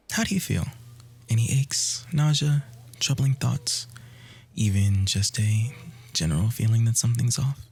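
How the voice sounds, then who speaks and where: A man playing an outwardly kind, quietly manipulative doctor in an ASMR roleplay, in a breathy voice that often drops to a whisper. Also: medium pitch, heavily acted. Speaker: audio roleplay voice actor. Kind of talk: ASMR roleplay, soft intimate acting.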